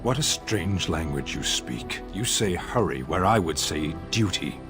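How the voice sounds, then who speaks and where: An actor playing a blunt, dutiful warrior who speaks in a flat, terse, literal way, with game music behind him. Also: male voice, deep voice, measured pace. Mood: stern. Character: stern giant male warrior, blunt and dutiful.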